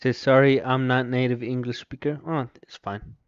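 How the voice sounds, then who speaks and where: A man with a Mexican accent livestreaming his first software install, thinking aloud, muttering to himself and reading chat aloud. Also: mid-range voice, flat delivery, normal speed, clear, resonant tone, dry recording, muffled recording. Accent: Mexican Spanish. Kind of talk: casual live commentary while installing software.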